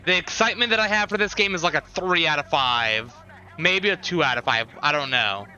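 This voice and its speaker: A man's voice: fairly high pitch, lively intonation, brisk, sharp tone, muffled recording. A man chatting casually to viewers as a livestreamer, giving commentary with sarcastic, unimpressed asides.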